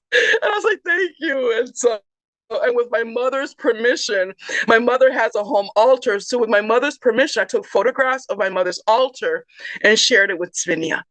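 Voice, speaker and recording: Woman poet, higher voice, laughs often, dry close recording. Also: dry recording, poor audio. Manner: laughing often, warm storytelling. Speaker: poet, conversation host. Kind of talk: casual conversation.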